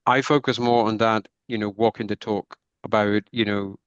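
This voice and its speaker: A middle-aged Spanish-accented man talks in a low voice with little rise and fall. His tone is smooth and resonant, and he tends to tag his thoughts with "right?". The sound is dry and a little dull. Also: fairly even intonation, normal speed, no echo. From northwest Spain. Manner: reflective, often ends points with "right?". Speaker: podcast guest. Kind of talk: conversational interview.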